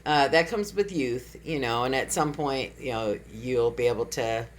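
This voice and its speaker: A woman's voice: extremely low pitch, normal speed, slight background noise. A middle-aged woman interviewing a guest in a chatty, affirming way, with frequent mm-hmms. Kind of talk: casual interview chat.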